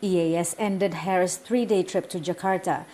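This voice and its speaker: A woman news anchor giving steady scripted narration. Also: fairly low pitch, moderate pace.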